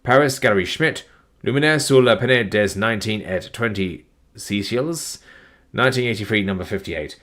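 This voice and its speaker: A man's voice: fairly low pitch, moderate pace. Weary man reading file lists. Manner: flat, plodding reading of numbers with weary asides. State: tired, voice starting to go. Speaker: document narrator. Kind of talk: live-streamed reading of file listings.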